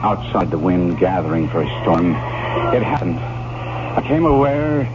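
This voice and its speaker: An actor playing a troubled gentleman who narrates his own horror tale gravely and dramatically in old-time radio style. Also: low voice, sing-song, very noisy. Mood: mounting dread. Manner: grave, dramatic, deliberate phrasing with urgent swells. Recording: muffled vintage radio, loud music bed.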